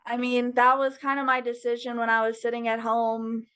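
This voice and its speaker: A young woman talking frankly in a casual interview, swearing freely, with a slightly raised, raspy voice. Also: on the high side, monotone, normal speed, a bit bright. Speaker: podcast guest.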